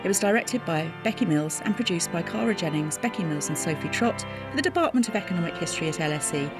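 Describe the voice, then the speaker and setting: A woman's voice: lowish voice, lively intonation, fast talker. A woman announcer reading scripted closing credits for an audio drama. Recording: music playing behind the voice.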